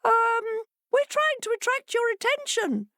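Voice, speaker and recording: A woman voicing a shoal of small, frightened fish in a high, hesitant voice. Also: lively intonation, a little slow, pushed and bright, no echo, studio quality, faint music behind, heavily acted. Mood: anxious. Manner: hesitant and flustered.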